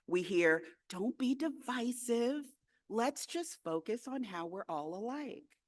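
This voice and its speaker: Woman giving a measured, rhythmic speech. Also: fairly high pitch, expressive. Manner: rhythmic, repetitive oratory with deliberate pauses. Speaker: speaker at a women's day breakfast. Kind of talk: prepared speech.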